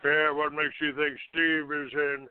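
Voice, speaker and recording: A man hosting a call-in show, ranting off the cuff in a halting, accusatory and combative manner. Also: fairly high pitch, flat delivery, smooth, no room sound. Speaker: internet call-in show host. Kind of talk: unscripted talk show rant. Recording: narrow, tinny phone-like line.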